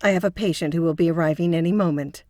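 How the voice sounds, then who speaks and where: A woman's voice: on the low side, fast, mellow, dry recording, clean studio sound, natural voice. A woman playing an earnest, principled psychotherapist with a prim, concerned manner in an audio drama. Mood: guarded.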